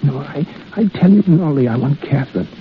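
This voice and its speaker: An actor playing a wounded soldier in love, plainspoken, wry and intense, in an old radio drama. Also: male voice, a little high, animated, fast talker, natural voice. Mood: desperate, pleading. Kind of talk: 1930s-40s radio drama acting. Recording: tinny, hissy old radio broadcast.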